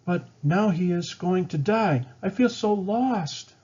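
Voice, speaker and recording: An earnest young chief's daughter voiced by a male storyteller, pitched well up, heartfelt and pleading. It is storybook reading with a slightly dull, band-limited sound. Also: expressive, takes it slowly, a little mellow, slightly rough, exaggerated voice. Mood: distressed.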